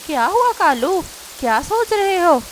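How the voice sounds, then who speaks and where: A woman voices a cartoon mother-in-law bird in a high-pitched voice. She is older, bossy and fussy, used to being waited on. Faint noise sits behind her. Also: very animated, measured pace, exaggerated voice. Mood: demanding. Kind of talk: Hindi cartoon character acting.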